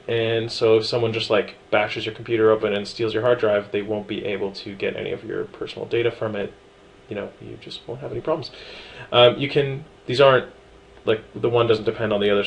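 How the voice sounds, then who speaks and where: A man casually talking through a software setup tutorial, relaxed and chatty with frequent ums and small asides. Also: fairly low pitch, creaky, slight background noise, low-quality recording. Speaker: tutorial presenter. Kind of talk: screen-recorded software walkthrough.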